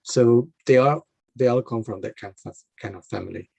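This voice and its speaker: A man's voice: mid-range voice, normal speed, creaky, dark tone, breathy edge, dry recording. A man giving an informal, conversational explanation to a group of learners, often restarting phrases and checking in with "right?". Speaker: guest speaker at an English club.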